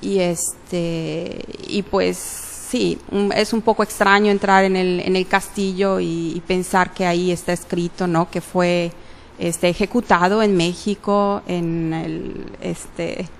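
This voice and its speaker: A woman's voice: lowish voice, little pitch movement, slow pace, gravelly, slight background noise. A woman answering interview questions casually, hesitant, with many fillers and self-corrections. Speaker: interview guest, literature graduate.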